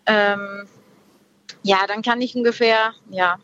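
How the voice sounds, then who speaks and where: A young woman from Peru, speaking German in a relaxed interview over a video call, reflective and hesitant, with many pauses and fillers. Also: medium pitch. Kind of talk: casual conversation. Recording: dull lo-fi video call audio.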